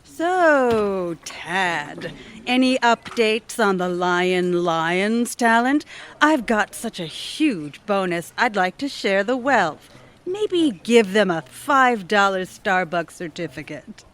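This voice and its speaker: A woman's voice: mid-range voice, expressive, slow pace, a bit bright. A woman playing a domineering, scheming office boss in an audio comedy, with a sarcastic, cutting manner. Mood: snide. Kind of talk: audio theatre comedy acting.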